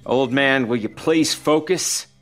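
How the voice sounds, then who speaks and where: A man's voice: mid-range voice, slow, a bit bright, soft background music, pitched above the performer's own voice. A man voicing a blunt, uneasy trucker who speaks up sharply and tensely. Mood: troubled.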